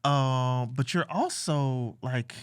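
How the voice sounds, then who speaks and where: A man hosting a casual interview, asking questions in a relaxed, slangy, playful way. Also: medium pitch, slow, clean tone, no room sound, studio quality. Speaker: host.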